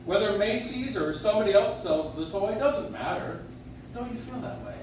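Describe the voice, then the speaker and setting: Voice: mid-range voice, expressive, normal speed, darkish tone, lightly acted. A performer playing a kindly old male Santa Claus in an amateur live radio play, speaking genially and reassuringly. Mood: jolly, reassuring. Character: kindly old department store Santa Claus, jolly man. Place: coffee house stage, recorded from the audience. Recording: distant audience recording, very echoey, noisy.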